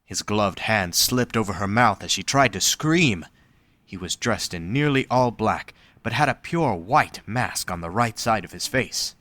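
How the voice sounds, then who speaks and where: A man's voice: fairly low pitch, lively intonation, measured pace, bright, forceful tone, no echo. A man reading scripted story narration for an audio drama.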